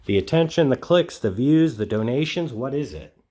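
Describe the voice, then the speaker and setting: A man conducting a casual group interview, halting and rephrasing his questions as he goes. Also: medium pitch, animated, warm tone.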